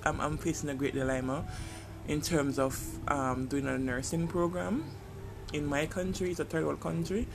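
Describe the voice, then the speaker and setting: A Jamaican man with a slightly high, gravelly voice talks through his thoughts in a relaxed solo podcast. He speaks hesitantly, pausing to search for words. Noticeable ambient noise sits under his voice throughout. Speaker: podcast host. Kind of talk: casual personal monologue.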